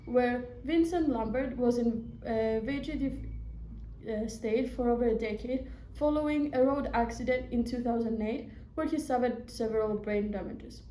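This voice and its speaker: A woman's voice: fairly high pitch, moderate pace, mellow. A young woman from Greece, a law student, reading a prepared presentation aloud with small slips. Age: young adult. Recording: echoey room with faint noise.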